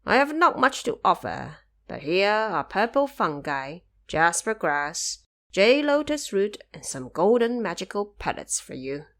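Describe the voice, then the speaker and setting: A woman voicing an elderly, courteous male god of longevity in an audio drama, with a measured, ceremonious manner. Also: mid-range voice, big pitch swings, fairly bright tone. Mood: courteous, grateful. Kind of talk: audio drama character acting.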